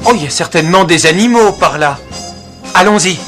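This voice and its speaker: A man's voice: sits high, expressive, a little fast, fairly bright tone, soft background music. A man voicing a proud, defiant chief of prehistoric hunters in a cartoon, assertive and boastful. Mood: eager. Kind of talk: animated cartoon acting.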